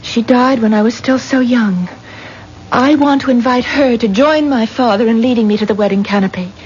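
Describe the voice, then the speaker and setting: An actress playing a grieving, dreamy young bride in a 1970s radio drama, earnest and pleading. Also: female voice, fairly high pitch, moderate pace, a little mellow, close to an everyday voice. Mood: anxious, earnest. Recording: muffled, noisy old radio broadcast.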